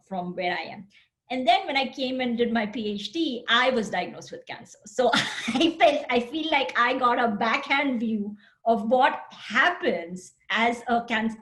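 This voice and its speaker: A woman's voice: a little high, fairly bright tone. Indian woman speaking over a video call. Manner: candid, earnest, apologetic about connection. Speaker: university counseling center director.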